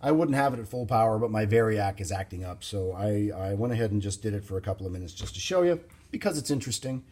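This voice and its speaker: A man giving an informal, unscripted hands-on demonstration as a hobbyist, explaining things in a plain-spoken, halting way. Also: on the low side, lively intonation, darkish tone. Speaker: vintage radio hobbyist presenting a demonstration.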